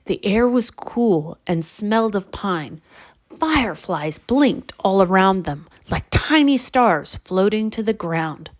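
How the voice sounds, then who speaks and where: Female narrator for children's bedtime tales, unhurried, animated, deliberate. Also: mid-range voice. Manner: expressive, deliberate storybook delivery. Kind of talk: scripted children's bedtime storytelling.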